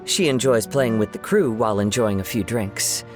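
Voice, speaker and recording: A man voicing a gentle, poetic young male wanderer in a video game, soft, calm and politely measured. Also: lowish voice, over music, natural voice. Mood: calm, reflective. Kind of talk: animated video game voice acting.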